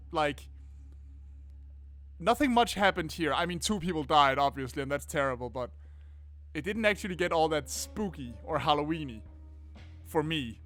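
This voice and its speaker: Higher-voiced man doing sweary game commentary. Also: no room sound. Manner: casual, sweary, expressive. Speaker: gaming video host. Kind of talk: game playthrough commentary, reading narration aloud. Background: faint game music.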